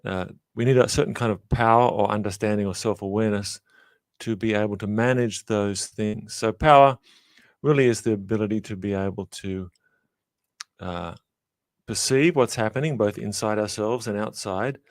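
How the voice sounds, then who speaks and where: A middle-aged man from Australia, a professor giving measured, reflective interview answers full of small pauses and fillers. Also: fairly low pitch, no echo, clean studio sound. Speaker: guest, professor.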